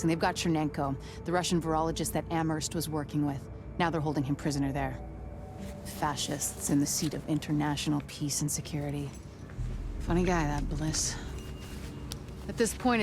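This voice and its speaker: An actress as a tough, weary female agent in a video game cutscene. Her voice sits low, and she briefs with grim determination and a wry edge. Music plays behind her. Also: lightly acted. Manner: grave, wry, urgent briefing.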